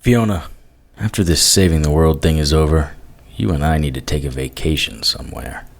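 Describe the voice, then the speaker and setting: A man's voice: extremely low pitch, normal speed, vocal fry, exaggerated voice. An actor playing a wisecracking, weary adult male hero in an audio drama, dry and sardonic. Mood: bantering. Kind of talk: audio drama acting and narration.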